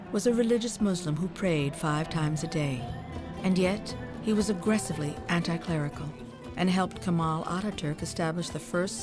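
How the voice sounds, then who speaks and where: Low-voiced woman narrating over music. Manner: measured, formal storytelling. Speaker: documentary narrator. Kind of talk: scripted narration.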